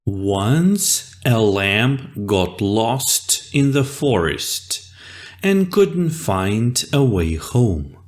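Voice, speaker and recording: A storyteller narrating a children's fable in an expressive, deliberate and dramatic way. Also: medium pitch.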